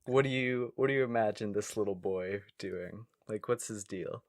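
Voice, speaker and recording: A man's voice: fairly low pitch, moderate pace, no room sound. Man chatting casually, dry close sound. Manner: informal, playful, prompting with questions. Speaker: podcast co-host. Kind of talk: casual podcast chat.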